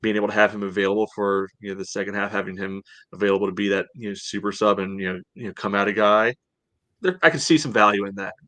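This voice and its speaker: Casual male soccer podcast co-host, lowish pitch, conversational and hedging, tight dry sound. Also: fairly even intonation, quick pace, bright, forceful tone, no room sound. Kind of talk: casual sports discussion.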